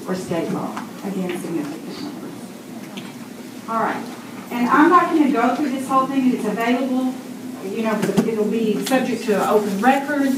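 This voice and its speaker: A woman in her sixties with a loud voice presents a survey report to a board in a formal tone, with many fillers. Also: a little high. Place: boardroom meeting. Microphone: room mic from a distance. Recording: echoey room, distant, noisy.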